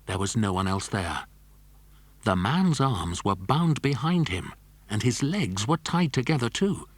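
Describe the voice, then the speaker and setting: A man narrates a children's adventure story. His voice is low and husky, recorded close and dry. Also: big pitch swings, measured pace, dry recording.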